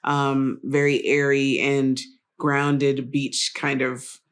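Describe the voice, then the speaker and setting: A woman's voice: extremely low pitch, monotone, very slow. A woman hosting an interview in a casual, conversational style. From Trinidad and Costa Rica background. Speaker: interview host.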